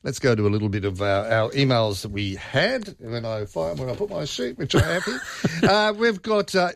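An Australian man hosts an evening talk radio show, reading texts and moving things along. His delivery is lively, his tone chatty and brisk. The studio sound is dry and close, with no room around it. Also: mid-range voice, creaky, no room sound. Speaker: radio host. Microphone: studio microphone.

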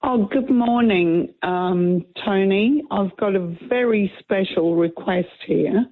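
A woman calling in to a radio show, husky from a cold, speaking slowly and politely. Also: mid-range voice. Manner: slow, polite. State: has a cold. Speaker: caller. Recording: tinny phone line.

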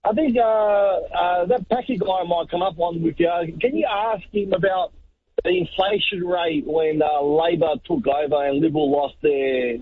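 A truck driver in his forties calling in to talk radio, rambling and halting with lots of fillers, his voice raspy. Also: sits high, expressive, no echo. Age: 40s. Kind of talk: phone-in caller chat. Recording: tinny phone line.

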